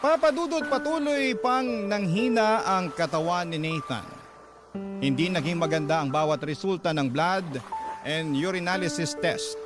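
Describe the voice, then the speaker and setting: Higher-pitched man narrating over music. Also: normal speed. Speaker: radio drama host and narrator. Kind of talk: dramatic first-person story narration, reading a letter. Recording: music playing behind the voice.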